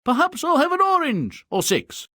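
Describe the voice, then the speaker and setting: A cowardly, callous merchant father from a comic fairy-tale game. The voice is high and very changeable in pitch. He whines with pompous melodrama, recorded dry. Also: huge pitch swings, moderate pace, sharp tone, no echo, exaggerated voice. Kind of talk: comic video game cutscene acting.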